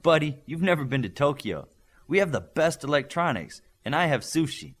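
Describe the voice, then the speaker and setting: A man's voice: fairly high pitch, sing-song, normal speed, fairly bright tone, slightly rough, voice pushed up, full character voice. A man voicing a confident, proud and teasing young female superhero in a storybook reading, with emphatic delivery.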